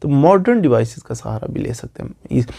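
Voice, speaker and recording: A man's voice: medium pitch, very animated, brisk, creaky, dark tone. A man with a Pakistani accent giving an informal legal explainer as a lawyer, repeating phrases for emphasis. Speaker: lawyer explaining law online.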